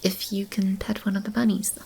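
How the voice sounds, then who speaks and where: A woman playing a gentle, nurturing keeper of a magical animal shelter in an ASMR roleplay, speaking softly and soothingly to a child. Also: mid-range voice, level tone, fairly quick, gravelly, slightly rough, rough recording. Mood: calm, wistful.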